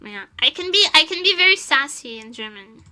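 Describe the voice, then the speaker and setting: A woman's voice: sits high, very animated, brisk, bright, forceful tone. A young woman from Portugal chatting casually on a live stream, answering chat and laughing often.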